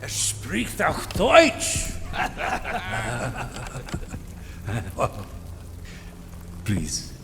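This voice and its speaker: An actor playing a cultured, sadistic German Nazi interrogator who speaks with mocking politeness and a German accent. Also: male voice, medium pitch, wildly swooping pitch, vocal fry, noisy background, exaggerated voice. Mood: mocking, menacing. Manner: slow, mocking, deliberately polite.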